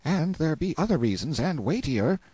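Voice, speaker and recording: A man's voice: fairly high pitch, huge pitch swings, moderate pace, darkish tone, slightly rough, no room sound, pitched above the performer's own voice, exaggerated voice. A man voicing a timid, superstitious medieval page boy with archaic, pleading speech in an audiobook. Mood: fearful, hesitant. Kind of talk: audiobook character acting.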